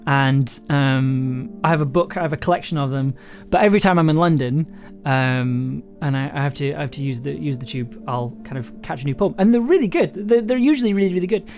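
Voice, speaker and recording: A live streamer talking casually to viewers, stumbling through fillers and restarts and laughing often. The voice sits at an ordinary middle pitch. Soft guitar music plays quietly in the background. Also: lively intonation, fairly quick. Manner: hesitant, many fillers and restarts, laughs easily. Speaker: audio drama writer streaming live. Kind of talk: casual live-stream chat, reading prompts aloud.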